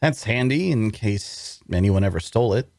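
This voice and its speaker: A man's voice: on the low side, expressive, moderate pace, darkish tone, no room sound, studio quality. A man playing a curious, wounded captive who asks questions hesitantly in a fantasy drama reading. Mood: puzzled. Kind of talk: dramatic fantasy table read.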